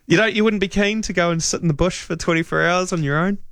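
A man's voice: sits high, fast, fairly bright tone, dry recording. A middle-aged man hosting a radio talk show, chatting and rambling with fillers and restarts. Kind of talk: casual radio chat. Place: radio studio.

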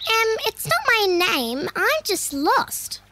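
A woman's voice: very high voice, big pitch swings, slow pace, sharp tone, voice pushed up, full character voice. A woman voicing a tiny lost young furry creature in a storybook, tentative, stammering and plaintive.

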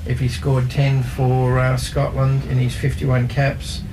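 A man's voice: mid-range voice, monotone, slow pace, noisy background. A man sharing football trivia in a casual sports chat, hesitant, with many ums as he reads from his notes. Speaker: co-host presenting football trivia.

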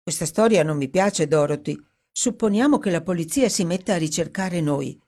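A woman's voice: fairly low pitch, moderate pace, darkish tone. A woman voicing a pompous, cautious young man in an audiobook story, with a pontificating manner. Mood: worried. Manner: pontificating, sententious. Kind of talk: audiobook character acting.

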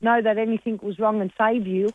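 A woman's voice: medium pitch, steady tone, a little fast, no room sound. A woman calling in to a radio show, answering plainly. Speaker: caller. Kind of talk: phone-in call. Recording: dull phone line.

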